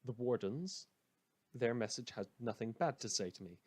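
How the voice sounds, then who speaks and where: A man playing a troubled, defiant small-town radio host, delivering an earnest, halting monologue in an audio drama. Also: medium pitch, expressive, darkish tone, breathy edge. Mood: wry, weary.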